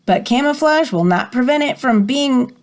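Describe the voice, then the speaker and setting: Animated woman reading aloud, higher-pitched voice. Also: normal speed. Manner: animated, dramatic emphasis. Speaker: teacher reading a picture book aloud. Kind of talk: expressive read-aloud with teaching asides.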